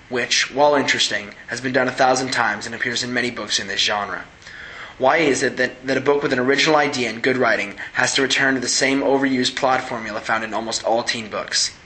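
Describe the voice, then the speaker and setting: A man's voice: medium pitch, sharp tone, low-quality recording. A teenage boy reading a scripted book review aloud. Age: teens. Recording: faint background noise.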